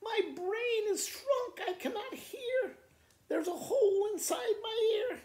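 A man's voice: very high voice, takes it slowly, darkish tone, pitched above the performer's own voice. A man voicing a melodramatic little girl who is faking sickness, whiny and self-pitying as she lists complaints. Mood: feeble, tearful. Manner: whiny, breathless listing of complaints. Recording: roomy indoor echo.

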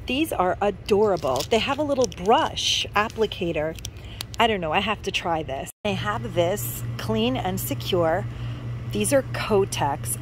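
A woman's voice: mid-range voice, noisy background. A woman chatting casually and enthusiastically as she shows products in a store, recorded on a handheld phone. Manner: gushing, enthusiastic about products. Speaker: shopping vlogger. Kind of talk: casual store walk-through chat. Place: inside a large retail store.

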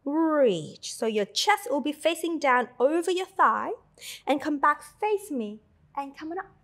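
A woman in her thirties with an Australian-tinged accent, guiding a Pilates class with slow, soothing cues and chatty asides. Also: high-pitched, animated, a bit bright. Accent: Australian-tinged English. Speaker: Pilates instructor. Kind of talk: guided exercise instruction.

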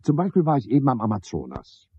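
Husky, pompous, sardonic porter man. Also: mid-range voice, wildly swooping pitch, fast talker, warm tone, dry recording, full character voice. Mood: scornful, self-satisfied. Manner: rambling, pompous monologue with mocking asides. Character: garrulous, pompous, sardonic male porter at a boarding house. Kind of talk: 1970s German radio play acting.

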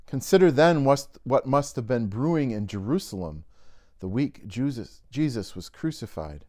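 A middle-aged man reads scripture and a devotional in a deliberate, unhurried voice of middle pitch. He is a pastor, seated in a cozy room indoors and recorded by a camera a short way off. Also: darkish tone. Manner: slow, measured reading aloud from a binder. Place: indoors in a home-style room by a fireplace.

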